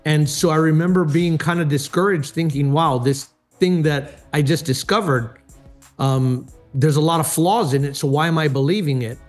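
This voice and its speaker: A middle-aged man from Hawaii speaks earnestly about his faith, pausing often with fillers. His voice sits somewhat higher than most men's. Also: faint music behind. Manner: earnest, many hesitations and fillers. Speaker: speaker and former pastor. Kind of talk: personal testimony in interview.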